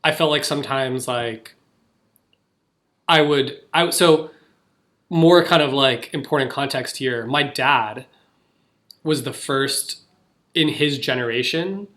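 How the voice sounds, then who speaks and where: Young man, bright tone, rambling casual chat, lots of likes and restarts. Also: medium pitch, slow pace, pauses often. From United States. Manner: chatty, filler-heavy, many restarts. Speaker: podcast co-host.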